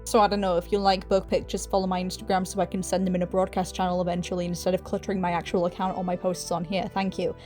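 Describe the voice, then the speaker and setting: A woman's voice: on the low side, level tone, fast talker, few pauses, a little mellow. Chatty woman narrating over music. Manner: informal, self-deprecating, chatty. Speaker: video essayist, channel host. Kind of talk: scripted video essay narration with casual asides.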